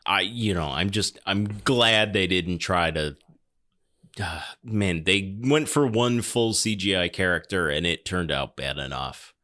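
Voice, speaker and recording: A man's voice: deep voice, a little slow, pushed and bright. A man hosting a podcast, talking casually about films in rambling, exasperated explanations. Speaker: podcast host. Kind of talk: casual film discussion.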